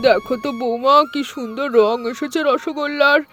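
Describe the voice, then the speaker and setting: A woman's voice: high voice, normal speed, fairly bright tone. A woman voicing a caring, helpful village matron with an earnest, familiar manner in a cartoon. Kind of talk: dramatized cartoon dialogue. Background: background music.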